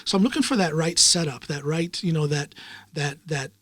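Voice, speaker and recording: Male podcaster, reflective and rambling, fairly high register, dry close mic. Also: moderate pace, a bit bright, no room sound. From United States. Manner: rambling and reflective, many ums and uhs. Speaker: podcast host in the guest chair. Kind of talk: casual interview chat.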